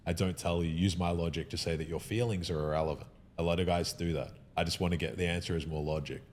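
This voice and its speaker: A man speaks in a podcast conversation with a very low voice and a quick pace. He is emphatic and opinionated, often starting a sentence and restarting it. A faint rumbling noise sits under the recording. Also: fairly even intonation, darkish tone.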